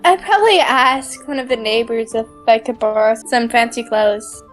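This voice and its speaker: A timid peasant played by a woman talks in a high voice over faint music. She is hesitant and understated, plain-spoken and meek, as a humble would-be tailor in an animated skit. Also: normal speed, lightly acted. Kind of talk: hobby animated skit voice acting.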